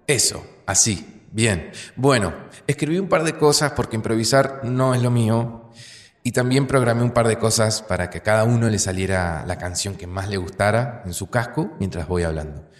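An actor playing a sentimental groom making a toast in an audio drama, speaking with an Argentine accent in measured, pausing phrases. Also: male voice, lowish voice, lightly acted. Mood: friendly. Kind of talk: audio fiction acting, wedding speech. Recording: long hall reverb. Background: music with plucked guitar.

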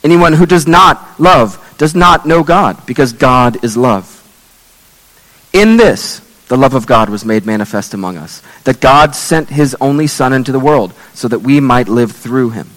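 Male pastor, hoarse, losing his voice. Earnest, measured sermon delivery with a long hall reverb. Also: mid-range voice, animated, a bit bright. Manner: earnest, measured preaching with scripture readings.

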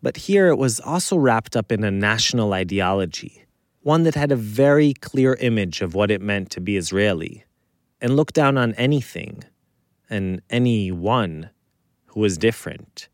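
A man narrating a scripted documentary as host, phrasing deliberately with dramatic pauses, close on the microphone. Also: fairly low pitch, animated, dry recording.

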